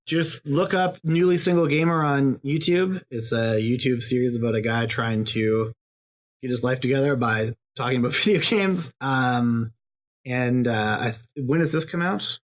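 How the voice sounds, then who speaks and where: A man with a medium-pitched voice speaks as a podcast guest. He talks thoughtfully but hesitantly, with many ums and restarts. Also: normal speed. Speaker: podcast guest, YouTube creator. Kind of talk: casual podcast chat.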